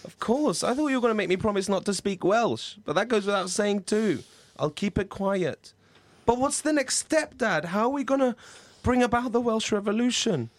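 A man's voice: medium pitch, animated, moderate pace, lightly acted. Man playing an earnest, hesitant sheltered boy. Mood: determined, pleading. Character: earnest, sheltered nine-year-old boy pleading with his overprotective father. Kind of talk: improvised comedy role-play.

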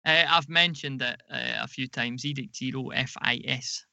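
A man with a very bright, forceful voice and a gravelly creak in it. He talks casually as an interview guest, with dry humour. The sound is close and dry, with no room echo. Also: mid-range voice, moderate pace. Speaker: podcast guest, audio drama producer.

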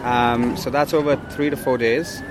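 A man's voice: medium pitch, moderate pace. Chatty man, street traffic behind. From India. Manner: relaxed, chatty, many fillers and restarts. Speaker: food startup co-founder. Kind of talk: casual walking interview. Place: outdoors on a city street. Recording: outdoor street recording with traffic. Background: traffic noise.

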